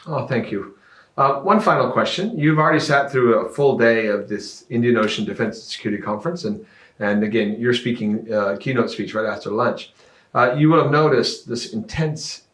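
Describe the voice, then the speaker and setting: A man hosting a conference conversation, asking friendly, chatty questions in a relaxed way. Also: medium pitch, echoey room. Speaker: interviewer and host. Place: conference venue in Australia.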